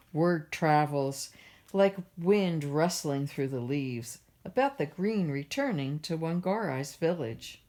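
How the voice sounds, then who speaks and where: Slow, low-voiced woman reading a storybook. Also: darkish tone. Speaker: library storytime reader. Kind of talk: children's picture book read-aloud.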